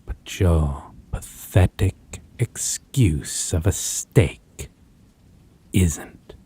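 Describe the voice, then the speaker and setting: Man acting as a possessive male vampire, breathy and raspy, drawn-out delivery, mocking, theatrical, audio drama. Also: low-pitched, lively intonation, warm tone, full character voice. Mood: condescending, taunting. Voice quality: husky, breathy. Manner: slow, theatrical, archaic wording, condescending endearments. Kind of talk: dramatic audio roleplay acting addressed to listener.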